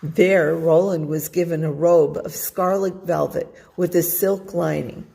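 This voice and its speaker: A woman's voice: on the low side, fairly even intonation, unhurried, gravelly, warm tone, slightly rough, in a large hall. A woman narrating a children's storybook aloud as a storytime reader.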